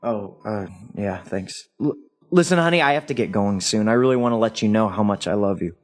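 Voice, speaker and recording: A man's voice: fairly low pitch, a little fast, close to an everyday voice. A man acting a loving, wistful and frightened husband in an audio play, speaking in a sentimental, hesitant way. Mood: tender, earnest. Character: recently deceased loving husband, tender, wistful, scared.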